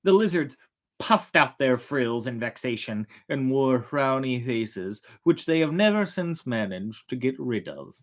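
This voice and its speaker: A storyteller narrating a folk tale in a theatrical way, with drawn-out, emphatic words. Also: medium pitch, animated. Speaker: storyteller, host of a storytime show.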